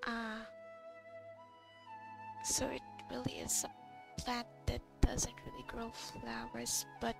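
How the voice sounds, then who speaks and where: A young woman comments casually on a game she is playing. Her voice is fairly high with noticeable vocal fry, reacting informally in short remarks over faint game music. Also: fairly even intonation, slow pace, pauses often, rough recording. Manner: informal, reactive, brief interjections. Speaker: gaming streamer. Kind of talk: casual gameplay commentary, reading dialogue along.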